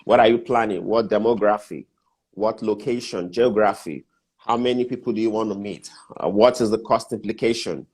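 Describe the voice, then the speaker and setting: A man's voice: on the low side, moderate pace, darkish tone, slightly rough. A middle-aged Nigerian man with a Nigerian accent, a guest mentor who talks through business steps in a casual interview, repeating his key points with emphasis.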